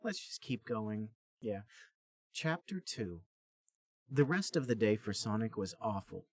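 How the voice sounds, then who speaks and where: Male podcast reader: dramatic, mock-serious narration with very lively pitch movement. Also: measured pace, husky, no room sound, clean studio sound. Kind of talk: mock-serious comic story reading.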